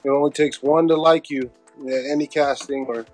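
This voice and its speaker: A man's voice: a little high, steady tone, normal speed, faint music behind. A man co-hosting a casual interview, talking in an encouraging, affirming way. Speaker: podcast co-host, interviewer.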